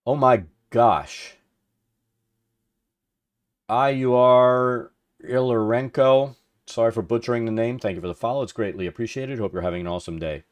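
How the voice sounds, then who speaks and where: A middle-aged man with a low, deep voice that suits radio. He hosts a livestream in an informal, rambling way, thinking aloud as he works and chatting with viewers. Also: fast talker, few pauses. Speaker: livestream host. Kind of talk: casual chat with stream viewers while working.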